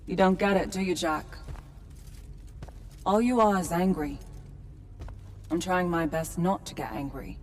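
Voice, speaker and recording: An actress playing a wistful, gentle but resolute young woman in a video game, with a reflective, storytelling manner. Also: fairly low pitch, unhurried, pauses often, natural voice. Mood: tender, sad. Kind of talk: video game cutscene acting.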